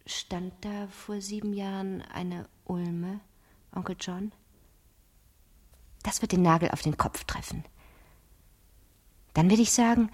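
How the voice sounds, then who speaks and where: A scheming teenage girl in a radio drama, with a breathy, husky voice that sits on the low side. She tells her tale deliberately and theatrically, sure of her own cleverness. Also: a little mellow. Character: cunning fifteen-year-old girl, imaginative, scheming, self-assured. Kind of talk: 1970s German radio play monologue.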